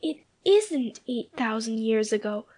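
Man doing a squeaky fretful little girl. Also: very animated, measured pace, a little mellow, full character voice. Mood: anxious. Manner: pleading, fretful. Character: timid anxious little girl, whiny.

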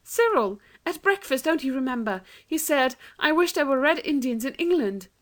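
A woman voicing an anxious, quick-witted young girl in a storybook reading, urgent and excitable. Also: sits high, voice pushed up.

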